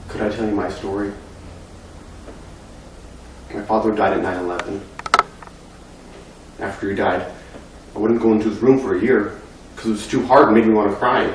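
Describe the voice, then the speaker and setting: A man's voice: mid-range voice, normal speed, long pauses, background noise, close to an everyday voice. A young man performing a dramatic monologue as a grieving, anxious, talkative boy, with an earnest, intense delivery, recorded in a very echoey room far from the mic. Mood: hushed, sorrowful. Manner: earnest, intense, breathless run-on telling that swells from hushed to loud. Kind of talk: dramatic monologue at a speech competition.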